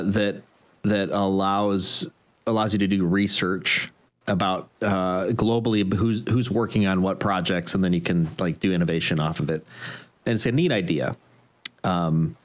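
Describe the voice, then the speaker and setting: A man hosting an interview, speaking in a thoughtful, reflective way. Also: sits low. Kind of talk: conversational interview.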